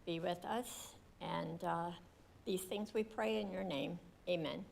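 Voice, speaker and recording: A woman's voice: on the low side, takes it slowly, vocal fry, darkish tone, a little hiss or hum. A woman leading an earnest, simple opening prayer at a council meeting. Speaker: prayer leader. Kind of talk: invocation prayer. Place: council chamber.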